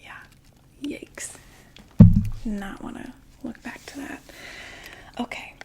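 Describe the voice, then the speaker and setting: A woman whispering a gentle, soothing ASMR roleplay as a friendly makeup saleswoman, often repeating words softly. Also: on the high side, takes it slowly, gravelly. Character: friendly female vampire door-to-door makeup saleswoman.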